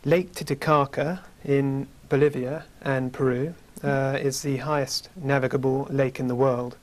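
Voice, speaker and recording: A man in his thirties with a British accent, a guest in a studio interview, telling about his travels in a measured way with many fillers, on a lapel mic. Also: medium pitch, flat delivery. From United Kingdom. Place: small studio with curtain backdrop.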